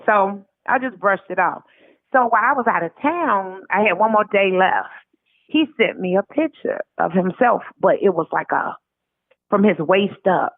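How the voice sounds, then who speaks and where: An American woman comes through a narrow, phone-like recording with a dry sound. Her voice sits at a middle pitch as she tells stories in a casual, colloquial way, re-enacting bits of dialogue as an interview guest. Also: moderate pace, no echo. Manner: animated retelling with quoted dialogue, colloquial. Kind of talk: casual conversational storytelling. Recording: tinny narrow phone line.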